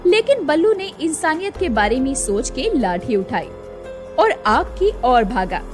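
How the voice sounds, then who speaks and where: A woman's voice: high-pitched, sing-song, unhurried, music in the background. A woman narrating a scripted story in a dramatic storytelling style.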